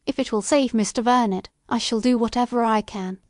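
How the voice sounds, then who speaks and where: Woman as high-voiced, earnest young office clerk. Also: fairly quick, darkish tone, dry recording, clean studio sound, natural voice. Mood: firm, respectful. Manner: formal, earnest, respectful. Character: firm, respectful young Victorian woman office clerk, anxious.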